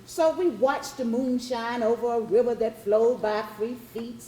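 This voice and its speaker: A woman's voice: a little high, fairly even intonation, a little slow, dark tone, a little hiss or hum, close to an everyday voice. A woman playing a formerly enslaved Southern woman, aching and defiant in love, in a poetic plantation-dialect stage monologue. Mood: impassioned. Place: stage before a live audience. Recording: roomy live theatre, audience murmuring.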